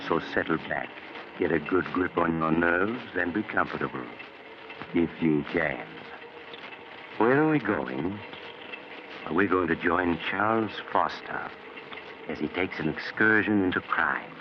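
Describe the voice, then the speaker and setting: An actor playing a sly, ominous doctor who hosts and narrates eerie tales in 1940s radio suspense style. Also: male voice, low voice, expressive, unhurried. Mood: sinister, inviting. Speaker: host and narrator of a mystery anthology. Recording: noisy, muffled old radio recording.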